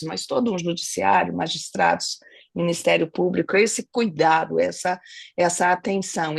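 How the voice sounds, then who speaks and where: A middle-aged Brazilian woman with a hoarse, gravelly voice that sits a little low. She talks earnestly on a video call as a family lawyer and mediator, circling back, restarting phrases and checking in with tag questions. The sound is dry and close. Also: no echo. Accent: Brazilian Portuguese. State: hoarse, has a cold. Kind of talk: online webinar talk.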